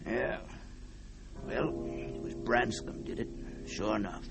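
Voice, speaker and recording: A man's voice: on the low side, slow pace, gravelly, over loud music. An actor playing a laconic, plain-spoken, folksy male investigator in an old-time radio mystery. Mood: matter-of-fact, grim.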